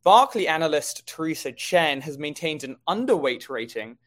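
A man's voice: a little high, big pitch swings, normal speed, a bit bright. A man co-hosting a stock market show, giving conversational commentary on companies and markets.

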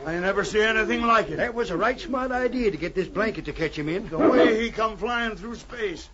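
An actor playing an excitable, loyal frontier sidekick with rustic speech in an old-time radio drama. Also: male voice, high-pitched, brisk. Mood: amazed. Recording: muffled, very noisy old radio recording.

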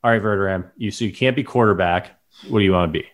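Man, low voice, podcast co-host, playful, laughing, casual chat. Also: quick pace. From lives in Chicago.

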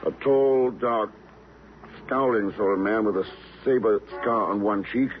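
An actor playing a cool, wry secret agent with an unruffled, dry manner in a 1950s radio drama. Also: male voice, on the low side, unhurried, close to an everyday voice. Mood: businesslike. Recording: tinny old radio, faint hiss.

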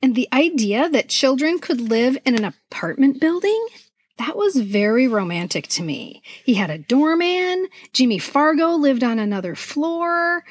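A woman's voice: sits high, sing-song, unhurried, husky, no room sound. A middle-aged American woman telling stories casually and expressively as a podcast co-host. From United States. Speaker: podcast co-host and children's author. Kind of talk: casual conversational storytelling about childhood memories.

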